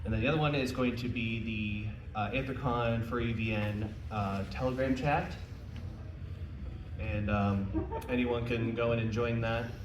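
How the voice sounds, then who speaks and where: A man's voice: medium pitch, fairly even intonation, a little slow. An American man giving an informal presentation to a live audience, with a casual, self-deprecating manner and jokey asides. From United States. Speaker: convention panel presenter. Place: indoor convention panel room. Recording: roomy, distant mic, faint noise.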